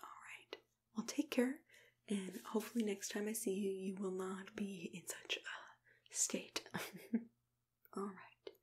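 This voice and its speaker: A young woman doing an ASMR roleplay as a caring dentist, mostly whispering in a gentle, reassuring way, close to the microphone. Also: mid-range voice, fairly even intonation, slow pace, long pauses. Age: young adult. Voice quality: breathy, hushed, mostly whispered. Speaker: ASMR creator playing a dentist.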